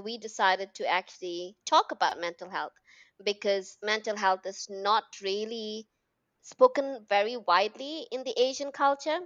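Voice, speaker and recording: An energetic woman from Malaysia with a mixed British and American accent, chatting casually and expressively as a podcast guest. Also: mid-range voice, dry recording, dull audio. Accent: international mix of British and American. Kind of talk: casual conversational chat.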